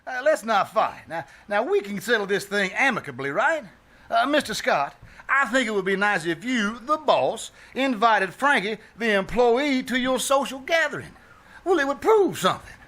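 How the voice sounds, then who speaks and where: A man's voice: high-pitched, big pitch swings, normal speed, bright, forceful tone, full character voice. A man playing a breezy, self-satisfied bandleader in a live re-enacted 1940s radio comedy, folksy and grandly self-important. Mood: placating. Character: breezy, self-satisfied married bandleader, a genial wisecracking peacemaker.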